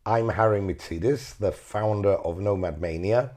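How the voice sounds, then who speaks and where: A man hosting a casual interview, hoarse from illness, asking gentle, encouraging questions. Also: fairly low pitch, normal speed. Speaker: interview host.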